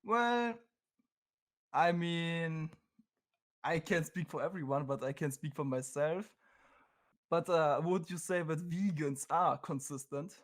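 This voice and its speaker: A man's voice: on the high side, takes it slowly, pauses often. A man from Germany with a German accent, a non-native English speaker debating on a call, hesitant and searching for words. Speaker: caller.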